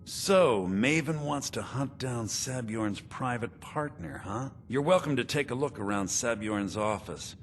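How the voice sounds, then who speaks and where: An actor playing a sly, self-satisfied meadery conspirator and fence in a fantasy video game, smug and businesslike. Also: male voice, medium pitch, lively intonation, measured pace, hardly any pauses, a little hiss or hum, close to an everyday voice. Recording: clear background music.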